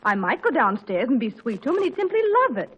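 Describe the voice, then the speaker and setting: A woman's voice: fairly high pitch, very animated, fast, fairly bright tone, dull audio, a little hiss or hum. A woman acting a slightly vain, puzzled mother in her thirties, with dry, coy delivery in a 1940s radio sitcom.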